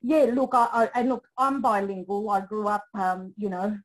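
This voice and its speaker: A woman's voice: medium pitch, moderate pace, poor audio. A Greek-born woman with a mid-range voice, Greek her first language, speaks through a remote call. She talks in a loose, reflective way, often restarting her sentences. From Greece. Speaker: aged care professional and family carer. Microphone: remote call without camera.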